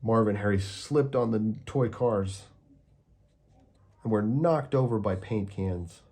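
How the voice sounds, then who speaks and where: A man reading a children's picture book aloud with calm, steady storytelling. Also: lowish voice, slow pace, breathy edge. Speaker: storybook reader.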